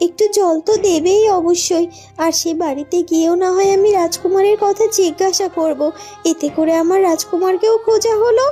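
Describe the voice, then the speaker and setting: A woman's voice: very high voice, mellow. A woman voicing a weary, determined young woman in a fairy-tale cartoon, earnest and pleading.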